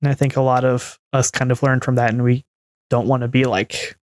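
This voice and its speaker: A woman in her twenties co-hosts a podcast in a casual, conversational style. Her voice is exceptionally low for a woman. The recording is dry and free of room sound. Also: level tone, a little fast, darkish tone, no echo. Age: 20s. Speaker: podcast co-host. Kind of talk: casual discussion.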